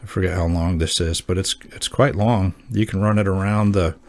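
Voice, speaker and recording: A man hosting a hobby gear video, talking casually and off the cuff in a halting, folksy, informal way. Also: low voice, fairly even intonation. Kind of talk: casual show-and-tell talk.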